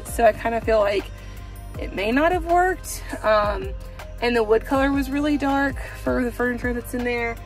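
Woman, 30s, casual vlogger; chatty and filler-heavy, slightly high-pitched, filmed on a phone in a car with light music behind. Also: moderate pace. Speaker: home decor vlogger. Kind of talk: casual chat to camera. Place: parked car. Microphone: phone camera at arm's length.

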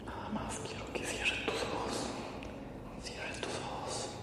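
A man whispers slowly in a breathy ASMR style, often repeating himself. The sound swims in very heavy echo and seems to come from far away. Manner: slow, halting, repeats phrases softly. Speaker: ASMR video host. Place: small indoor room at home. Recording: very echoey, reverberant, distant-sounding processed audio.

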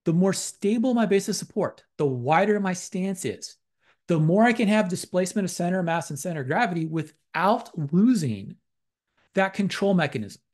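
A man's voice: high voice, lively intonation, normal speed, darkish tone. A man giving a methodical, academic slide lecture on exercise science, restating terms as he goes. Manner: methodical, repetitive academic phrasing.

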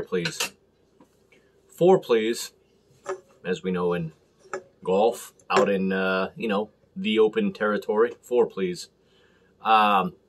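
An American man hosting a casual beer review to camera, talking in halting, clipped short phrases. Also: lowish voice, a little hiss or hum. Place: small room at home, indoors.

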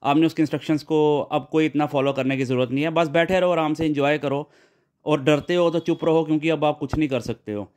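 A man's voice: a little high, fairly even intonation, brisk, darkish tone. A man giving practical advice in a casual, explanatory vlog voice-over. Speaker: vlogger.

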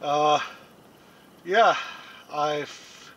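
A man's voice: fairly high pitch, drawn-out words, pushed and bright, lo-fi sound. A man in his forties chatting to his camera while camping, first outdoors in the snow and then inside a small tent at night, chuckling often and rambling with plenty of fillers. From Canada. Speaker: camping vlogger. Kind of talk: casual vlog chat to camera. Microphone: handheld camera close up, then fixed camera a few feet away.

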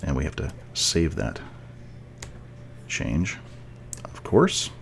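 A man's voice: rumbling low, big pitch swings, slow, vocal fry, a little hiss or hum. A man giving a casual, step-by-step tutorial narration as a programming instructor, explaining as he goes.

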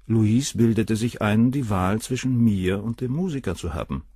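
An actor playing a cynical doctor under questioning in a radio play, dismissive and clinical in manner. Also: male voice, on the low side, expressive, normal speed, dark tone, breathy edge, no room sound, clean studio sound. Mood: cold. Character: cold cynical male doctor, middle-aged suspect.

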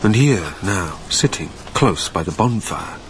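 A man playing a weary dead man who narrates his own haunting in a reflective, measured, lyrical manner in an audio drama. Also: fairly low pitch, animated, noisy background. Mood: melancholy, reflective.

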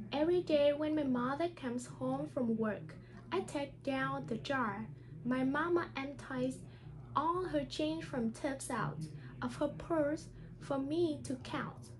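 A woman speaks in careful, halting non-native English, in the style of a teacher reading a children's storybook aloud. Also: high voice, crowd noise, poor audio.

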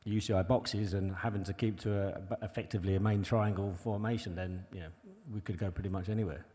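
A man's voice: fairly low pitch, fairly even intonation, fairly quick, dark tone. A man who once raced, talking as a panel guest on stage, rambling with many filler phrases. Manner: rambling, many "you know" and restarts. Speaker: bike engineer and former pro racer. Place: large hall on stage before an audience. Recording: long hall reverb.

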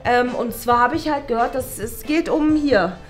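A high female voice of a comedy actress plays an indignant staff-room gossip. She keeps interrupting herself as she builds up her point. Music runs faintly behind her. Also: close to an everyday voice. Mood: secretive, gossipy. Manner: halting, self-interrupting gossip. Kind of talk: improvised comedy sketch acting. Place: small meeting room.

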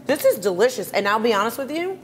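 A woman's voice: fairly high pitch, big pitch swings, fast, slight background noise. A middle-aged woman with an American accent presents casually and enthusiastically as a food show host, gushing and exclamatory. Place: restaurant bar indoors.

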